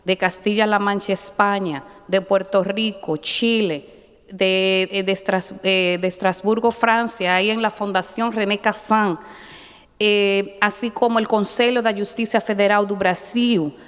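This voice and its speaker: A woman's voice: medium pitch, fairly even intonation, a little slow, clear, resonant tone. A middle-aged woman judge, speaking formally and measuredly with devout asides, a little nervous. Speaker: judge and candidate. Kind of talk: formal hearing testimony. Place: large hearing chamber. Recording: long reverb in a hall.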